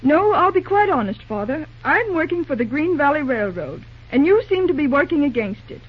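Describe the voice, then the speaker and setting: Spirited young railroad office gal, high swoopy voice, brisk and outspoken, dull old radio recording. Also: female voice, very animated. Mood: frank, defiant. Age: young adult. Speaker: radio drama actress. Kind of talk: old-time radio drama acting.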